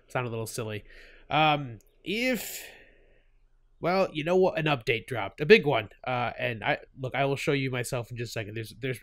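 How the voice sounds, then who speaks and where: A man's voice: medium pitch, fairly bright tone, no room sound, clean studio sound. A man with a stuffy cold hosting his gaming channel, chatting casually over gameplay, laughing often and full of fillers and restarts.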